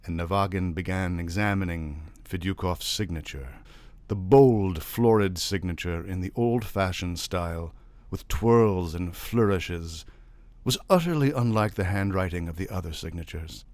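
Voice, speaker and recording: A man reading a literary short story aloud as an expressive narrator. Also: low voice, measured pace, no echo. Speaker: story reader.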